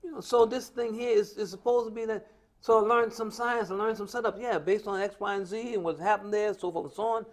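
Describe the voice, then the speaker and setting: A man's voice: high voice, steady tone, fairly quick. An American man speaking off the cuff in a preaching style on a religious talk stream, emphatic and repetitive, with colloquial storytelling. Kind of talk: impromptu preaching-style social commentary.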